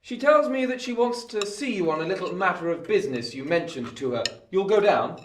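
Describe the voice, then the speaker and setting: An actor playing a shrewd, domineering lawyer in a radio play, with an imperious, declamatory manner. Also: male voice, high voice, expressive, fairly quick, recorded from a distance. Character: domineering, shrewd male lawyer, commanding host. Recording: echoey room, off mic, background noise.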